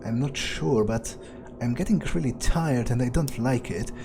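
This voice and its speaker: A man roleplaying a weary, wary, sardonic vampire in a video game, thinking aloud in a halting, sweary mutter. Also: mid-range voice, natural voice. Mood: tired, uneasy. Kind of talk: in-character improvised gameplay monologue.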